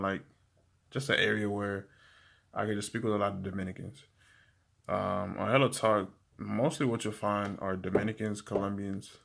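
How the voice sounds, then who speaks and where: A young man giving casual language-learning tips to camera, talking in a relaxed, rambling way with many fillers and restarts. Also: fairly low pitch, gravelly. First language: English.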